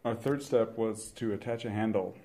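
A young male engineering student explains each construction step in a calm, instructional way. His voice sits a little low and sounds mellow. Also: moderate pace, vocal fry. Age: 20s. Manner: steady, instructional. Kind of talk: project explanation and demonstration.

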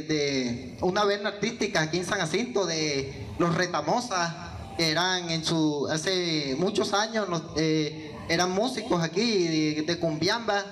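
A man's voice: sits high, a bit bright, a little hiss or hum. A young man, answering interview questions into a handheld mic in an indoor hall, hesitant with many fillers and restarts. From Venezuela. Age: young adult. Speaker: guest musician. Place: indoor hall with yellow walls. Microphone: handheld microphone.

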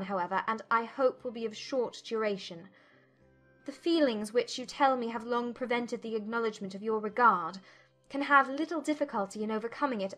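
A spirited young gentlewoman acted by a woman in a dramatized audiobook. She speaks in a fairly high voice with proud, measured, formal diction over faint music.

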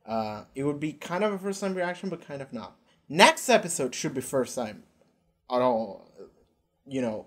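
A man's voice: medium pitch, darkish tone. A young man from Argentina with an Argentinian accent, rambling casually and swearing as he reacts to a show, recorded on a headset in a small bedroom. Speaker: YouTube reactor.

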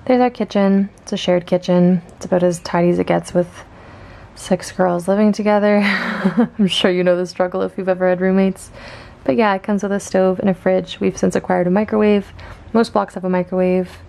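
Young woman from Canada, student vlogger; upbeat, chatty walkthrough, strong fry, mellow tone, handheld camera. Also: mid-range voice, flat delivery, moderate pace. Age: young adult. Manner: chatty, upbeat, with filler ums and small laughs. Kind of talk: casual walkthrough narration. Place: outdoors on campus, then indoors in a dorm room.

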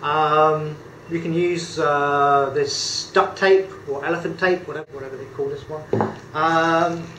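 A British man in his fifties talking through a hands-on camera tutorial, his voice a touch high for a man. He rambles in a friendly way with frequent ums and uhs. The camera mic sits a few feet off in an echoey room with a faint hum of ambience behind him. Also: fairly bright tone. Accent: British English. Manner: chatty, hesitant with many fillers, good-humoured. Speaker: photography tutor. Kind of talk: casual demonstration tutorial. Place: indoor room at a table. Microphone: camera mic a few feet away.

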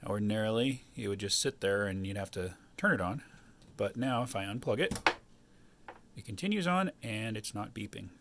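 Low, gravelly-voiced man explaining casually. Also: expressive, moderate pace, heavy background noise. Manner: explanatory, unscripted. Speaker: DIY tinkerer presenting his own modification. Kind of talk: casual hands-on tutorial. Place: indoors at a wooden table.

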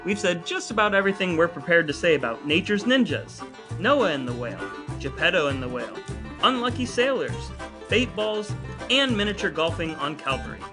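Man co-hosting a podcast, slightly high voice, wry playful storyteller. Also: very animated, fairly quick, over music. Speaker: podcast co-host. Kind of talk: casual chat with scripted segments.

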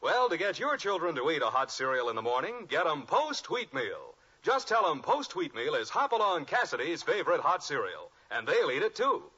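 Forceful husky American male announcer, animated intonation, 1950s radio ad, muffled sound. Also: on the high side, moderate pace, few pauses. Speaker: commercial announcer. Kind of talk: 1950s radio advertising pitch. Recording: muffled old radio recording.

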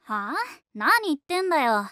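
Tomboy high-school bandmate, anime. Blunt, cool, clipped and boyish in delivery. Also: female voice, sing-song, moderate pace, pushed and bright, no room sound, clean studio sound, heavily acted. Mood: irritated. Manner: rough, clipped, boyish speech. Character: blunt, cool tomboyish teenage band girl. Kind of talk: Japanese anime voice acting.

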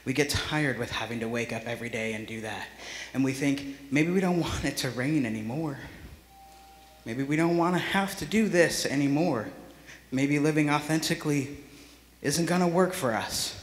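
An earnest man in his thirties gives a memorial address. His voice sits at a medium pitch and rings through a large church with long reverb. He speaks reflectively into a microphone, as one addressing a gathering. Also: moderate pace. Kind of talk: heartfelt public memorial speech. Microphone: handheld or stand microphone close to mouth. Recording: echoey church hall reverb.

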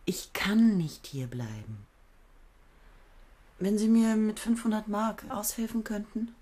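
An actress playing a tired, self-mocking woman scientist in a radio play, talking quietly and often near a whisper. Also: medium pitch, slow pace, dry recording. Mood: weary. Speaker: lead character and narrator. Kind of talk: 1970s German radio play acting. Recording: old radio play with loud hiss.

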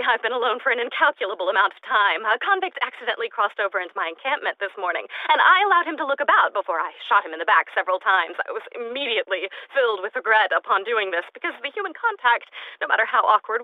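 A woman's voice: on the high side, dry recording, narrow, tinny audio, close to an everyday voice. A woman as unhinged female scientist, video game audio log, formal precise diction, erratic swings, lonely, dry close sound.

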